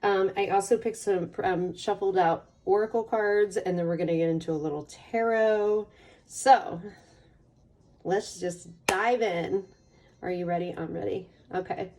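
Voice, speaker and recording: A woman hosting a casual tarot card reading in an informal, playful way, her voice slightly raspy as she gets over a cold. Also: medium pitch, measured pace, darkish tone, distant mic, slight background noise. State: getting over a cold or allergies. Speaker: tarot reader hosting a pick a card channel.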